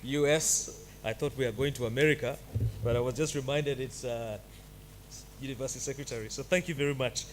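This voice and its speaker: A man's voice: mid-range voice, steady tone, fairly quick, a little hiss or hum. A man giving a commencement address at a graduation ceremony, joking with the audience between formal greetings. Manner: jovial, playful asides to the audience. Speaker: commencement speaker. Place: ceremony hall.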